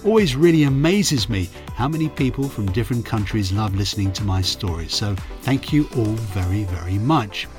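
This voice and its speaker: A middle-aged man with a croaky, hoarse voice, a radio reporter narrating his memories in a friendly, chatty way. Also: lowish voice, lively intonation, moderate pace, few pauses, over music. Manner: friendly, chatty, self-deprecating. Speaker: radio reporter and podcast host. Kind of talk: reflective storytelling narration.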